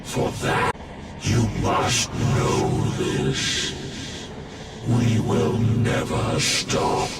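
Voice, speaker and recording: An actor playing a menacing undead wraith lord in a fantasy game, hissing ominous pronouncements in a breathy rasp over loud music. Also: male voice, a bass voice, lively intonation, very slow, pauses often, exaggerated voice. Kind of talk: dramatic fantasy game acting.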